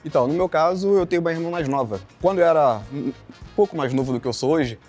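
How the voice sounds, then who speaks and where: A man's voice: on the high side, fast, darkish tone, soft background music. A man in his thirties from Brazil, a contestant chattily telling how he got into a series. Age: 30s. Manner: chatty, recounting. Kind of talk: storytelling answer.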